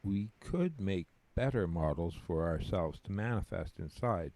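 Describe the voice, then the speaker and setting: A man's voice: deep voice, animated, measured pace, dark tone. A man playing a grandiose, reverent spirit companion to a god in a scripted audio drama, with a theatrical, declamatory delivery. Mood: explaining.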